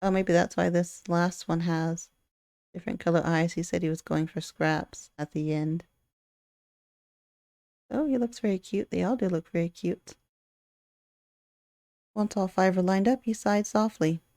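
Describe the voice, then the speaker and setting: A woman's voice: lowish voice, fairly even intonation, a little slow, gravelly, pauses often, darkish tone, dry recording, clean studio sound. A woman hosting a let's play, reading a visual novel aloud and reacting casually. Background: faint music.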